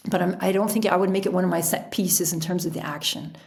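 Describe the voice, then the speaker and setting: A middle-aged woman telling stories casually as an interview guest, in an animated, chatty way. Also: on the low side, fast, gravelly. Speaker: podcast guest, author and sailor.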